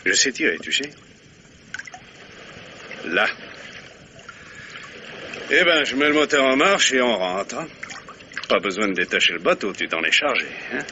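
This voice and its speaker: An actor playing a dry, ironic middle-aged police commissioner in a 1950s radio drama. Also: male voice, medium pitch, measured pace, long pauses, slight background noise. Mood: stern threat. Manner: dry, ironic, calm authority. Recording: muffled old radio recording.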